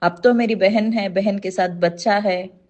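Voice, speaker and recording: A woman's voice: medium pitch, fairly even intonation, a little slow, darkish tone. A woman on a recorded phone call, speaking deferentially as she questions and acknowledges the other person. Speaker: caller asking questions.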